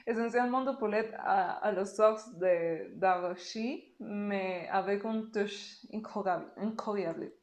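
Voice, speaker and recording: A woman from Mexico, a native Spanish speaker with a Mexican Spanish accent, answering interview questions slowly and carefully. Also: medium pitch, reverberant. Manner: slow, careful speech with pauses.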